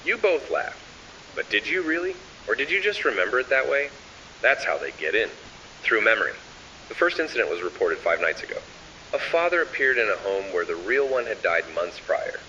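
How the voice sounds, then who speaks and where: A man with a lowish, forceful voice delivers ominous horror narration, swinging noticeably in pitch as he measures out each phrase. The recording is dull and sounds distant from the microphone. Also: very animated, normal speed, a little hiss or hum. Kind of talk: scripted analog horror narration, addressing the listener.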